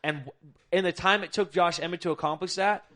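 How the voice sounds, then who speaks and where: A man's voice: high-pitched, brisk, a bit bright. A young adult man hosting a podcast, talking bluntly and emphatically with lots of swearing. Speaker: podcast host. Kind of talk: casual podcast chat.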